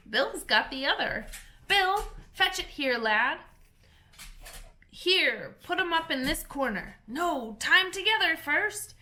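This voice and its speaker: A bustling crowd of little animal workers in a storybook, as a woman puts them on. They call out orders in a raised, high, bright voice, excited and bossy. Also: full character voice.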